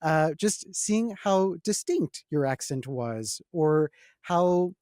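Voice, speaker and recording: Man, podcast host, slightly high voice, reflective, dry close sound. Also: expressive, takes it slowly, darkish tone, dry recording. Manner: reflective, laughs easily. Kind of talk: casual podcast chat.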